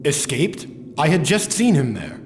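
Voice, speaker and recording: An actor playing an earnest wandering warrior champion in a video game, speaking politely and formally. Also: male voice, a little high, very animated, a little slow, a little hiss or hum. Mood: dutiful. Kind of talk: video game cutscene acting. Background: music.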